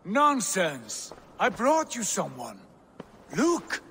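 Proud Viking warlord, game cutscene, higher-pitched and animated, commanding, declamatory. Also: male voice, drawn-out words, a little hiss or hum, heavily acted. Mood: eager. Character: proud, commanding Viking warrior lord, exuberant and insistent. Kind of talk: video game cutscene acting.

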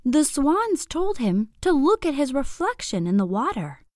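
A woman narrating a scripted bedtime story for young children in an expressive, deliberate, clearly phrased way. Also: high-pitched, no echo, clean studio sound.

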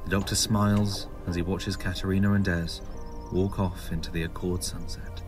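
Male narrator for audio drama, lowish pitch, scripted read over music bed. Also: little pitch movement, normal speed.

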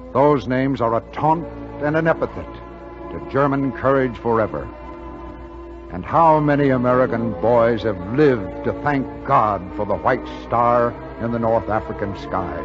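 Solemn mid-pitched man giving wartime oratory. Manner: solemn, rhetorical. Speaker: Army Air Forces spokesman narrator. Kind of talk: scripted 1940s wartime radio oratory. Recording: dull 1940s radio broadcast. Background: music.

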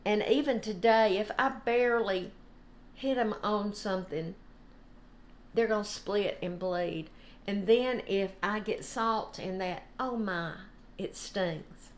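An older woman giving an informal, unhurried devotional talk. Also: mid-range voice, slight background noise. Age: older, about 70s. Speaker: devotional host. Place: home kitchen, indoors.

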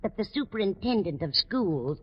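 Chatty landlady with animated intonation. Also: medium pitch, normal speed, breathy edge. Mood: earnest. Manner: earnest, rambling. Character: chatty, homespun, slightly dotty landlady. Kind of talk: old-time radio sitcom acting. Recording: dull 1940s radio recording.

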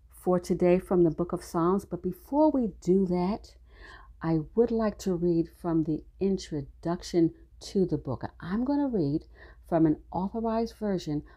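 A woman's voice: lowish voice, warm tone. A woman teaching a Bible study class, reading scripture aloud in an earnest, instructive way and addressing her listeners directly.

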